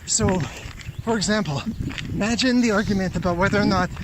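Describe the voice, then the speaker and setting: A man thinking aloud in a rambling, halting monologue, pausing often as he ponders. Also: high voice. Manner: halting, pondering, throat-clearing. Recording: noisy outdoor recording with clicks.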